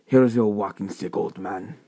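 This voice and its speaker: A man voicing a noble aging warrior in a story, solemn and hushed, speaking slowly. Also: fairly low pitch, creaky, slightly rough. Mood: grave farewell.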